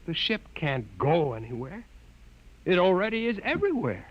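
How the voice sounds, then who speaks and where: An actor playing an earnest, timid young scientist who clings to orthodoxy in a 1950s radio drama, with an excitable, theatrical delivery. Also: male voice, sits high, normal speed, slightly rough, heavily acted. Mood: earnest, dogmatic. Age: young adult. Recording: dull, hissy 1950s radio recording.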